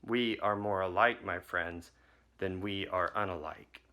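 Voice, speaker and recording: Guy reading a poem at church, lowish voice, bright and forceful. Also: fairly even intonation. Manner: measured, softening poem reading. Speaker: chalice lighter. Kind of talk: reading a poem aloud at a service.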